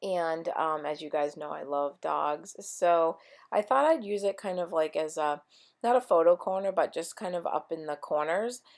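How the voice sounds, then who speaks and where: A woman with a voice she says is going hoarse, chatting casually through a scrapbooking tutorial with lots of ums. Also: sits low, normal speed. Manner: rambling, chatty, many ums. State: losing her voice. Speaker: scrapbooking vlogger. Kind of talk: casual craft tutorial chat.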